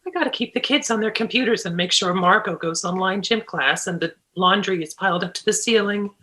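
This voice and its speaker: A woman's voice: medium pitch, pushed and bright, lightly acted. A woman reading a frazzled, bossy mom. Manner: brisk, bossy, keeps brushing off pain. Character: frazzled mother, insisting everything is fine. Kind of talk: table read.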